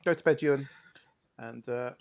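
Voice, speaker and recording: A man's voice: medium pitch, moderate pace, vocal fry, dull audio. A man co-hosting a tabletop game stream, chatting casually, laughing often and thanking guests as he signs off. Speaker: co-host and player. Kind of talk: casual end-of-stream chat.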